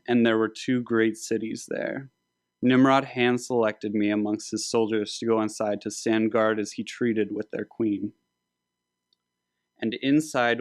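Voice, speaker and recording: Young man, dramatic story reading, lowish voice, dry sound. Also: dry recording. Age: young adult. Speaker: author reading own graphic novella. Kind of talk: dramatic scripted reading with acted dialogue.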